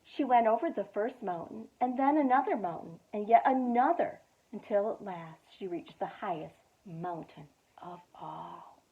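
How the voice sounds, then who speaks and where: A woman youth director reading a children's storybook aloud, expressive with dramatic pauses. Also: mid-range voice. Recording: tinny phone-like audio.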